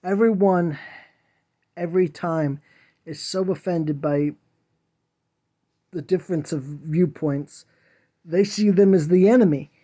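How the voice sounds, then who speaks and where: A man's voice: fairly high pitch, slow pace, long pauses, warm tone. A man hosting a podcast, delivering an impassioned solo monologue in a whiny voice, earnest and preachy as he pleads with listeners. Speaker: podcast host.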